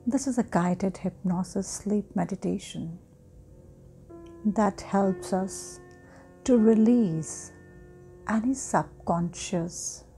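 A woman guiding a sleep hypnosis meditation in a soft, breathy, often near-whispering voice with long pauses. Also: medium pitch. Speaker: guided meditation host. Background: meditation music.

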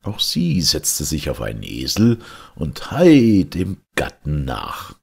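Very deep, gravelly male storyteller. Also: wildly swooping pitch, a little mellow. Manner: theatrical, deliberate. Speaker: storyteller, reader. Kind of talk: dramatic scripted fairy-tale narration.

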